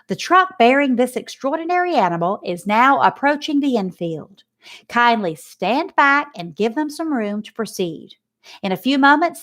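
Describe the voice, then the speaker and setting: A woman reading aloud and voicing a pompous male fair loudspeaker announcer with a grand, booming delivery.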